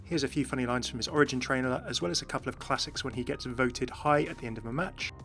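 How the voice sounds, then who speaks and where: A man's voice: mid-range voice, quick pace, a bit bright. A man hosting a gaming channel, giving enthusiast commentary as he presents game voice clips. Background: background music.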